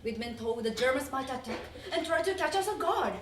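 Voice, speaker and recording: A woman's voice: high voice, animated, fairly quick. A woman giving a theatrical, emphatic dramatic reading of a wartime letter as part of a readers theater. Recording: roomy, distant mic, faint noise.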